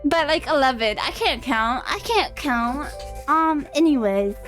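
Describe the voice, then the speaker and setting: A woman's voice: high-pitched, animated, measured pace, music in the background. A young woman live streaming a game, chatting casually and hesitantly, rambling as if talking to herself. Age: young adult.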